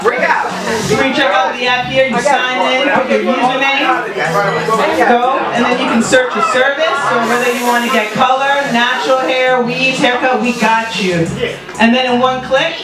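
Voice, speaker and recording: A woman's voice: high-pitched, flat delivery, normal speed. A woman giving a casual live product demo at a party, talking the listener through each step. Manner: casual, walks through steps. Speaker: product presenter. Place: party venue. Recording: echoey room from far off with loud music.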